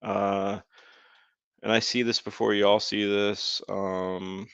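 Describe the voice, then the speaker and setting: A man's voice: lowish voice, flat delivery, slow pace, vocal fry, fairly bright tone, clean tone, no echo. A man streaming a game, chatting casually with his viewers and laughing often. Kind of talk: casual live-stream commentary. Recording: dull lo-fi dry sound.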